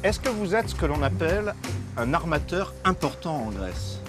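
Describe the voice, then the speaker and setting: A man's voice: a little high, sing-song, moderate pace, over music. A male journalist asking courteous, probing interview questions.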